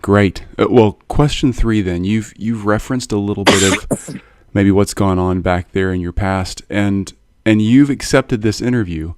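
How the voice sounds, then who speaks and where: Male podcast host, deep voice, dry recording; rambling, hesitant questioner. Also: measured pace, darkish tone, no room sound. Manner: meandering, hesitant, many fillers and restarts. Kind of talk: interview questions.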